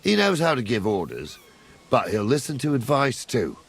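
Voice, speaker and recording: A gruff older captain in a medieval game, husky n commanding, voice moves around a lot. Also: male voice, medium pitch, huge pitch swings, moderate pace, full character voice. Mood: guarded. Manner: guarded, plain-spoken, commanding. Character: gruff, fatherly older captain of the guard, man. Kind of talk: video game dialogue acting.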